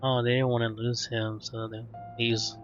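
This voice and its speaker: A man streaming a let's play, reading game text aloud haltingly with casual remarks. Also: medium pitch. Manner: flat, halting read-aloud. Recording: dull mic under loud game music. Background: loud game music.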